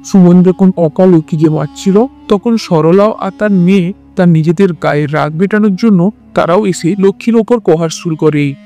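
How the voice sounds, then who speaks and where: A man's voice: high-pitched, normal speed, dark tone. A man reading scripted narration for a cartoon folk-style tale. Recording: music bed behind the voice.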